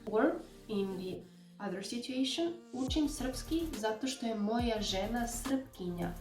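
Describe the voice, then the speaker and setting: A woman's voice: on the high side, lively intonation, unhurried. Serbian-accented woman teaching, roomy sound. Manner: friendly, encouraging, casual with fillers. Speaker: language teacher. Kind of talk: online language lesson. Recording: roomy echo with faint music.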